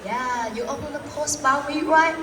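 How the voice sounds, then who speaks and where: Woman voicing a cheerful male student coach, deliberate recited delivery, high voice, distant echoey hall with noise. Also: sharp tone. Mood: upbeat. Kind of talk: school stage role-play in English.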